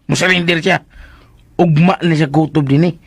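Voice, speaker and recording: A man's voice: on the high side, steady tone, normal speed, vocal fry, husky, dry recording. A Filipino man playing a cheeky, teasing household helper in a radio drama, bantering and exclaiming. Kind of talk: Philippine radio drama comic acting.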